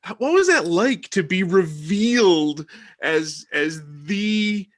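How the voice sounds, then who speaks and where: A man's voice: high voice, animated, takes it slowly. A man on a video call asking a guest questions in an eager, admiring way.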